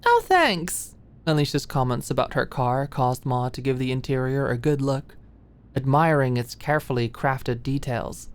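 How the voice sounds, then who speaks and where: A woman's voice: very deep voice, level tone, normal speed. A woman reading scripted romance fiction as an audiobook narrator in an ASMR style.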